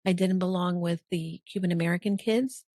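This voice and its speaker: A woman's voice: lowish voice, fairly even intonation, fairly quick, a little mellow, no room sound, clean studio sound. A woman from El Salvador who later moved to Miami, telling personal stories conversationally as a podcast guest and often checking for agreement. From El Salvador until age ten, then Miami. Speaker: podcast guest, business coach.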